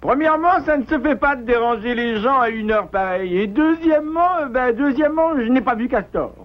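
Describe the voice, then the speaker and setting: A man voicing a fussy, grumpy but soft-hearted animal with a squeaky, hesitant delivery in a French cartoon. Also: animated, a little fast, dull audio.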